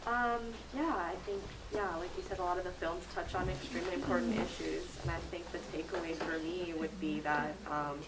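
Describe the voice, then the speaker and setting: A woman's voice: lowish voice, fairly even intonation, brisk, few pauses. A twenty-three-year-old woman on a film panel, speaking earnestly and with emphasis. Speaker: panelist filmmaker. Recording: distant mic, loud noise.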